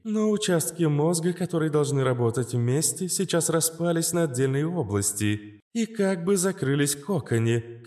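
Мужчина изображает высокий женский голос бортового разума. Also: male voice, on the high side, a little mellow, pitched above the performer's own voice, close to an everyday voice. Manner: measured, precise.

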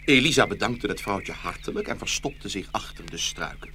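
Male fairy-tale narrator: lowish, animated, forceful tone, scripted storytelling over faint noise.

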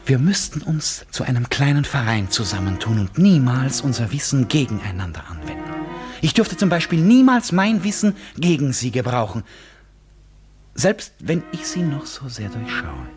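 A man acting in a German radio play as an erratic, tipsy, eccentric gentleman who rambles insistently in grand phrases and swings between confiding and outburst. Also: mid-range voice, very animated, normal speed, soft background music, close to an everyday voice. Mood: sly, confessional.

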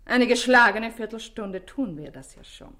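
A woman's voice: on the high side, animated, normal speed, a bit bright, a little hiss or hum. An actress playing a self-assured, evasive society woman under questioning in a German radio drama, with an ironic, haughty manner. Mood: cool, defiant.

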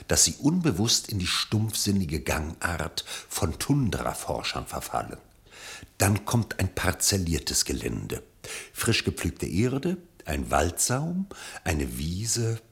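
A male narrator with a very low voice and a gravelly creak. He reads a literary radio play script in measured phrases, with a lively, wide-ranging melody.

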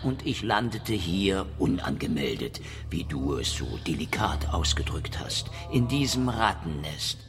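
A world-weary hard-boiled detective with a deep voice and animated, swooping delivery. He is cocky, sarcastic and theatrical, in radio drama style with music clearly behind him. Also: a little slow, few pauses. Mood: cocky, threatening. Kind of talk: noir radio drama acting and narration.

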